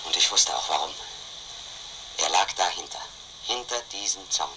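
A man's voice: sits low, animated, moderate pace, background noise. An actor playing a brooding, obsessed man who narrates his own investigation in a radio play, intense and questioning himself. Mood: brooding, agitated.

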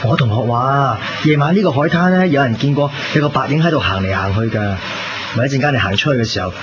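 A man's voice: medium pitch, lively intonation, fast talker, noisy background, close to an everyday voice. A man playing a tender, teasing young boyfriend in a Hong Kong Cantonese radio drama, talking casually and intimately. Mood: playful teasing. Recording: dull lo-fi radio recording, loud music. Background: loud music.

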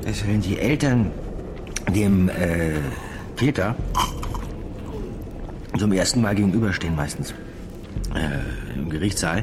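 A man acting a weary police detective in a radio drama, speaking in a terse, probing or halting, reflective way. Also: deep voice, animated, noisy background. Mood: brooding. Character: weary, brooding male police detective, questioning and musing.

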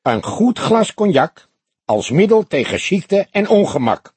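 A small, knowing café owner, put on by a male narrator, talks with husky curiosity. His pitch swings readily into surprise, in the manner of a crime audiobook. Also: very animated, unhurried, a little mellow, dry recording, full character voice. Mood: surprised, knowing. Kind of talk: audiobook character dialogue.

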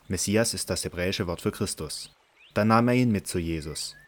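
A man narrating scripture in a measured, solemn reading for a radio drama. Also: low-pitched, a little hiss or hum.

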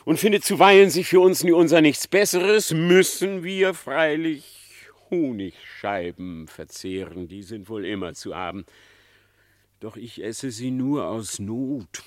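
A man playing a sly, flattering fox who feigns humility, with an unctuous, wheedling manner, in a German radio play. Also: medium pitch, measured pace, dry recording, studio quality, close to an everyday voice. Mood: mock humble. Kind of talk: German radio play acting of verse fable.

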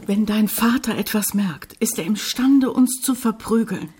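An actress playing a gentle, submissive, anxious middle-aged mother in a German radio drama, soft and resigned. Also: mid-range voice, lively intonation, a little slow, husky. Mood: fearful.

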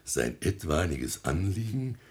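Pompous, deep-voiced old mayor. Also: male voice, sing-song, slow pace, a little mellow, heavily acted. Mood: awed, self-important. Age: older. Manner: pompous, declamatory, blustering, stammering officialese. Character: pompous, self-important elderly small-town mayor and father. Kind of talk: theatrical radio comedy acting.

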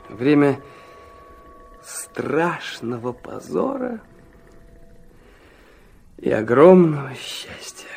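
Touchy, fervent young narrator-hero, radio drama. Swinging, emphatic delivery, somewhat high, faint music underneath. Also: male voice, vocal fry, a little mellow, heavily acted. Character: ardent, touchy, impulsive young man narrating his disgrace.

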